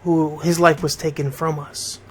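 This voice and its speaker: A man's voice: a little high, level tone, moderate pace, a little hiss or hum. A middle-aged American man from Connecticut hosting a radio show, talking informally and directly to his listeners. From Bridgeport, Connecticut, United States. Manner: conversational, addresses listeners directly. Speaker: radio host and DJ.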